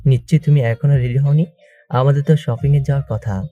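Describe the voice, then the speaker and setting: An impatient young fiancé, played by an actor in an audio romance. His dark, mellow voice has a middle pitch and a demanding, urging manner, on a slightly muffled recording. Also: fairly even intonation, brisk, lightly acted. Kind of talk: audio love story acting.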